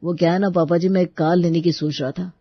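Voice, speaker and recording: A man playing a smooth-talking, entitled, money-minded young groom in a story drama. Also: fairly high pitch, little pitch movement, quick pace, no room sound, dull audio. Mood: slyly polite.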